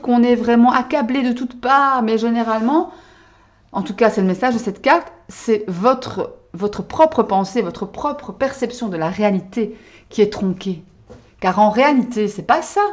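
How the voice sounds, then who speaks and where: A woman's voice: fairly high pitch, darkish tone, dull audio. A woman giving a casual tarot reading to her viewers, chatty and full of little checks like "hein" and "d'accord?". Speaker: tarot card reader. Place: Belgium.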